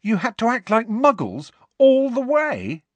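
A man's voice: high voice, huge pitch swings, measured pace, fairly bright tone, no room sound, lo-fi sound, voice pushed up, heavily acted. A man voicing an incredulous, blunt teenage boy wizard. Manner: incredulous, exclaiming.

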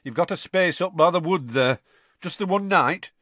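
A man doing a blunt, gruff campsite keeper. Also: on the high side, expressive, normal speed, a bit bright, no room sound, voice pushed up. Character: blunt suspicious older male campsite keeper.

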